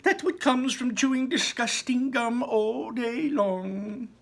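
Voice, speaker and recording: A man voicing an impatient, eccentric male candy maker, exclaiming and rushing through his lines in a storybook reading. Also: very high voice, vocal fry, pitched above the performer's own voice, heavily acted. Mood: excited. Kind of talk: storybook read-aloud.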